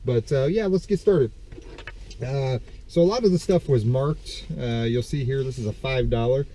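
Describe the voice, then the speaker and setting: A man's voice: mid-range voice, moderate pace, mellow. A man rambling casually through a haul of estate sale finds, stuffed up and congested from a dust allergy, full of ums and self-corrections. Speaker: vlogger showing reseller finds. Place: parked car. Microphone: camera on the steering wheel.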